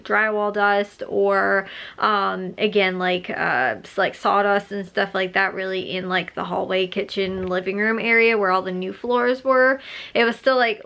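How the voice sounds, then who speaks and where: A woman's voice: medium pitch, pushed and bright. A young woman vlogger chatting casually, rambling with lots of fillers and restarts, a bit stuffed up. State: stuffed up nose. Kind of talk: casual vlog chat.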